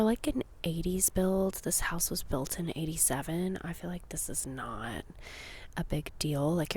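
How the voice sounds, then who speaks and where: A woman speaks in a very slow, hushed half-whisper with a gravelly fry. Her delivery is an informal, rambling ASMR chat, recorded outside in a yard with some noise of wind around her. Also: on the low side. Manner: soft whispering and hushed talk, chatty, informal. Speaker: ASMR vlogger. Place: outdoors in a residential backyard. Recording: faint wind and outdoor noise.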